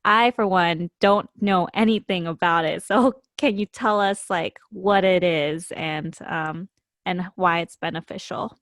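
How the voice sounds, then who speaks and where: A woman's voice: on the low side, a little slow, a bit bright, no echo, clean studio sound. Young woman host, casual and chatty. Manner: casual, chatty, says "like" often, laughs between questions. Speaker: podcast host. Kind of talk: podcast interview.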